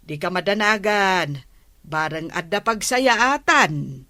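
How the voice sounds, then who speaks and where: A woman's voice: medium pitch, expressive, slow pace, bright, forceful tone, no room sound, studio quality. A woman with an Ilocano accent playing a patient, earnest village captain's wife in a radio comedy drama. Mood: patient, explaining.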